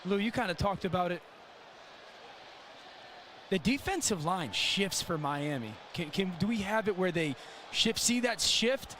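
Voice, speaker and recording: A man with a high voice works as a colour analyst on a football broadcast, with clear stadium noise behind him. He explains tactics and often frames them as questions. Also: animated, measured pace, pauses often. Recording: noisy stadium game broadcast.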